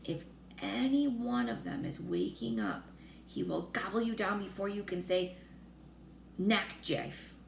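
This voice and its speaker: A woman voicing a calm, friendly male giant from a children's storybook, speaking in folksy, garbled grammar. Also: mid-range voice, unhurried, creaky. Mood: warning, proud. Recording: roomy echo with faint noise.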